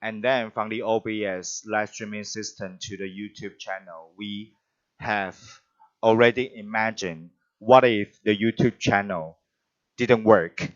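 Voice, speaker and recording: A man hosts an online performance event over a video call. He speaks at a slow, deliberate pace and often restarts his sentences. His voice sounds dull and muffled, with a lo-fi quality. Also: fairly low pitch, steady tone. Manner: halting, with frequent restarts and fillers. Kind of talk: live-stream hosting, introducing guests.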